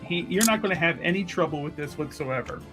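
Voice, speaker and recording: A man's voice: fairly high pitch, expressive, quick pace, a bit bright, faint music behind. A man chatting and bantering casually as a player in a tabletop roleplaying game.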